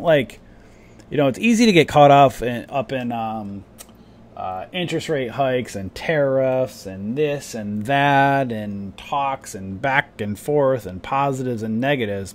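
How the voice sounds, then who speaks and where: A man in the middle pitch range talks loosely over his charts, leaning on "you know" and "right" as he goes. His voice sounds a bit worn today, with the odd cough and throat-clear between thoughts. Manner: rambling, chatty, many fillers like "you know" and "right". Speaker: stock trading streamer. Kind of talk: casual market commentary to viewers.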